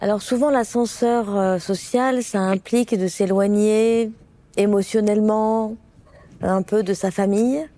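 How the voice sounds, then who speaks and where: Mellow woman interviewer, faint room noise. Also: mid-range voice, fairly even intonation, a little slow. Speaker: podcast host, interviewer. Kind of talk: conversational interview questions.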